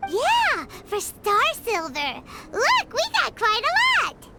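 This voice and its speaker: A woman voicing a small, chirpy, childlike fairy companion in a video game, squeaky and chatty. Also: takes it slowly, very noisy, full character voice. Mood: chatty, curious. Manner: excitable, chatty, exclamatory.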